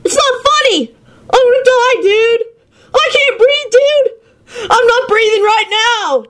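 A woman's voice: very high voice, sing-song, measured pace, a bit bright, pitched above the performer's own voice, exaggerated voice. A woman reading fan fiction aloud, voicing a dim, stoned young male slacker who drawls and says dude constantly. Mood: panicked.

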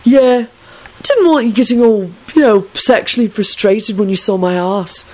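A woman's voice: mid-range voice, animated, moderate pace, darkish tone, lightly acted. Woman as giddy, rambling teenage boy. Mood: self-righteous. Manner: blithe, earnest, rambling. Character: giddy, medicated, self-righteous teenage boy.

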